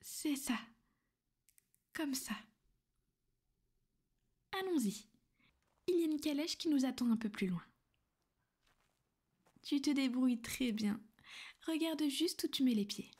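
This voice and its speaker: A woman playing a gentle but possessive vampire noblewoman, speaking softly and often in a whisper for an ASMR roleplay. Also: sits high, animated, slow, pauses often, slightly rough. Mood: soothing. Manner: soft, soothing, often whispered.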